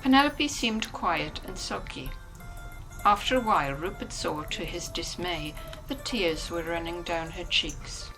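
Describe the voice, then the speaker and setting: Bright, low-pitched woman narrator. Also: a little slow, faint music behind, low-quality recording. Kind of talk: scripted literary narration.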